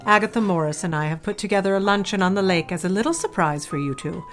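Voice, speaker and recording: A woman's voice: medium pitch, animated, quick pace, faint music behind, natural voice. An actress playing a genteel, controlling middle-aged society mother in an audio drama, fussy and pointed, breezily dismissive. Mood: breezy, scheming. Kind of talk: scripted audio drama acting.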